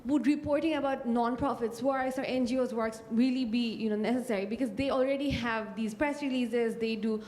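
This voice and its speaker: A woman from Bangladesh with a fairly high voice asks a question from the audience. She is hesitant, pausing with fillers as she builds her point. A faint hiss sits behind her. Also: steady tone, a little mellow. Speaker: audience member asking a question.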